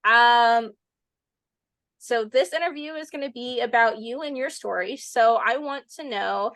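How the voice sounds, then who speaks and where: A woman's voice: on the high side, unhurried, a bit bright, no room sound. A woman hosting her YouTube channel, interviewing a guest on a laptop video call in a friendly, giggly way with fillers.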